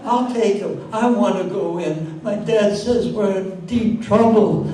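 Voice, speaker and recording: Older man doing a high, earnest radio-play boy. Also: a little slow, mellow, slight background noise. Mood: eager pleading. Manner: pleading, wholesome old-radio juvenile. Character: earnest, eager young boy desperate to enlist. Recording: very echoey room, distant mic.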